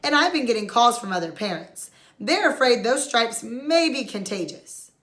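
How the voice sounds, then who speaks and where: A woman's voice: a little high, very animated, moderate pace, fairly bright tone. A woman voicing an apologetic but stern male school principal in a children's storybook. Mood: apologetic, firm.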